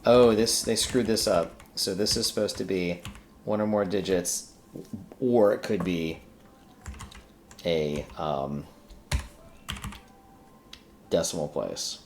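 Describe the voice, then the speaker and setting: A man's voice: sits low, slow pace, pauses often. A man hosting a casual coding tutorial, talking informally and hesitantly as he thinks aloud while working. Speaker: host of a programming tutorial. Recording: noticeable background noise, keyboard typing.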